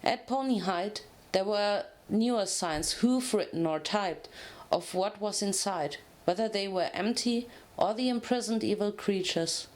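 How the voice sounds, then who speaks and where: A woman narrates a piece of fan fiction in a medium-pitched voice. She reads as a storyteller would, in a dramatic scripted style, with an occasional stumble over the words. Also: a little slow, a little mellow.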